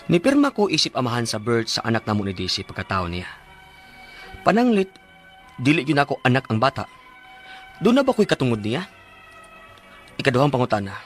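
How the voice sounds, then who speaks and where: A male actor as a hurt, devoted Cebuano father in a radio drama. His pleading, earnest delivery swings widely in pitch, at a middling register. Music plays underneath. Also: natural voice. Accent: Cebuano Filipino. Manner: emotional, pleading. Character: earnest, wounded family man and devoted father. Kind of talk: radio drama acting and first-person narration.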